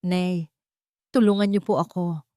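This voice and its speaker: A determined young Filipino mother who has been wronged, as a woman narrator plays her. She is an audio drama heroine with a slightly low female voice, recorded close and dry. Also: fairly even intonation, unhurried, dark tone, clear, resonant tone, no room sound, natural voice. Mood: feigned distress.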